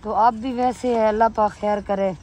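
A woman's voice: medium pitch, steady tone, normal speed. A woman from Pakistan, chatting casually outdoors in a village while someone else films her. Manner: soft-spoken, chatty, pious. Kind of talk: casual conversation. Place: outdoors in rural fields and a village courtyard. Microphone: phone camera held by another person.

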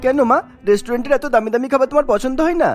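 A woman's voice: high-pitched, racing, darkish tone, natural voice. A woman voicing an earnest, accommodating young man in a cartoon, with a coaxing manner. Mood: puzzled.

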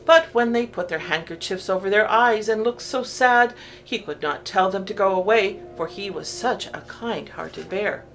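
A woman narrating a children's story from a script, in an expressive, deliberate storytelling way. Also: mid-range voice, faint music behind.

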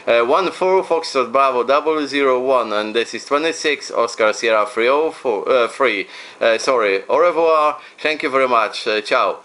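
A man's voice: mid-range voice, normal speed, a bit bright. A man making casual amateur radio contact, politely and in hesitant English, using phonetic call signs. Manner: friendly, polite, hesitant non-native English. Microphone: radio desk microphone.

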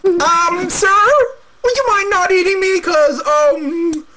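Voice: very high voice, slow, background noise, pitched above the performer's own voice. A performer voicing a nervous billy goat stammering and pleading not to be eaten.

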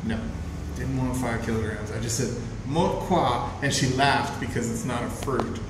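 A man in his thirties chatting casually through a grocery haul, relaxed and chatty, recorded on a camera mic in an apartment room. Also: medium pitch, moderate pace. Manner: relaxed, chatty, chuckles often. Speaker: travel vlogger. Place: bright room of a rented apartment. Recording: roomy echo with clear background noise.

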